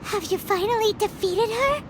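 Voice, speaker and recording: A woman voicing a tiny, childlike fairy companion in a video game, excitable, anxious and naive, given to exclamations. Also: very high-pitched, normal speed, background noise. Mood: startled. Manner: speaks of self in third person, exclamatory. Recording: loud music and effects behind. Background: game music and effects.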